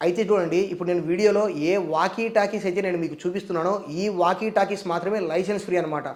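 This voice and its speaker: A man's voice: high voice, darkish tone. A man narrating an unboxing and review, explaining things step by step as the host.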